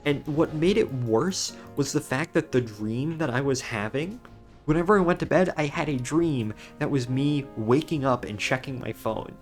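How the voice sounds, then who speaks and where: A man's voice: medium pitch, moderate pace, soft background music. Rambling young male streamer. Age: young adult. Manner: rambling, with restarts. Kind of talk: casual chat while gaming.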